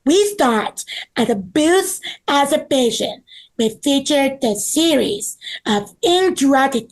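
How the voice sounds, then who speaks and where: A woman's voice: sits high, gravelly, full character voice, poor audio. A woman playing a friendly, cheerful tour guide friend in a table read of a children's story. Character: friendly, organised museum guide friend Tori.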